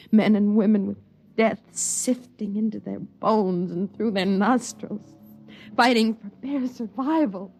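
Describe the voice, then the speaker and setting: An actress playing a weary, frail young wife in a 1950s radio drama, with a brooding, theatrical delivery. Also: female voice, mid-range voice, gravelly, mellow. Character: weary, frail, submissive young wife in post-nuclear shelter. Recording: muffled vintage radio recording with music.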